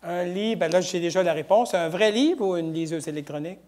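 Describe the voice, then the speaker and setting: A woman hosting an interview show, firing off quick either-or questions in a brisk, playful way. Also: lowish voice, animated, a little mellow. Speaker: host.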